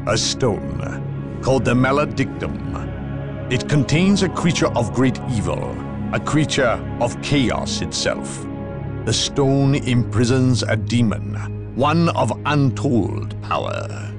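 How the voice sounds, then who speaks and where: Zealous inquisitor, mid-pitched, swooping delivery, grave, portentous, game cutscene, music behind. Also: male voice, big pitch swings. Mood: grave, ominous. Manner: portentous, measured, theatrical. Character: grave, haughty inquisitor, a zealous hunter of evil. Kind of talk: dramatic video game cutscene acting.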